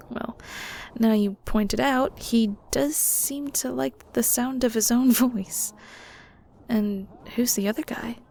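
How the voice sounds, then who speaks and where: Woman acting as a shy, slow-talking ghost girl. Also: fairly high pitch, steady tone, vocal fry, warm tone, heavily acted, rough recording. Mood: apologetic, curious. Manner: hesitant, polite, chatty, giggly. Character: shy, curious young female ghost, newly arrived in the underworld. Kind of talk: audio roleplay, intimate conversational acting.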